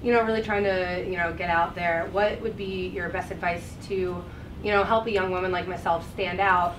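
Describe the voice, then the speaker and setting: A woman's voice: lowish voice, fairly even intonation, normal speed. A young woman in the audience asking a question hesitantly, with many fillers. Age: young adult. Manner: hesitant, filler-laden, with nervous laughs. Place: conference room. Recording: echoey room, far from mic, background noise.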